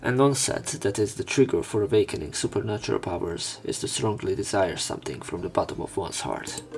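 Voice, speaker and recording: A man reading visual novel text aloud as a let's play narrator, in measured short phrases. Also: sits low, animated. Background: game music.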